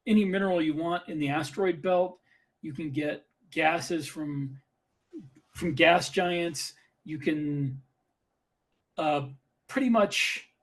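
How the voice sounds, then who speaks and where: A man with a somewhat high voice discusses ideas as a podcast co-host. He talks informally and argues his points with emphasis, repeating phrases as he goes. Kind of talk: casual opinionated discussion.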